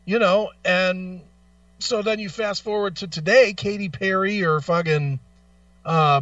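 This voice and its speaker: A man's voice: sits high, fairly bright tone, dry recording, distant mic, lo-fi sound. A man hosting a talk show, ranting casually in a blunt, profane, emphatic way and arguing through rhetorical questions.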